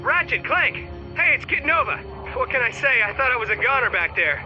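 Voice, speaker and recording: A man voicing an excitable, grateful hero in a video game, calling over a tinny comm link. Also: extremely high pitch, brisk, music in the background. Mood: relieved, upbeat.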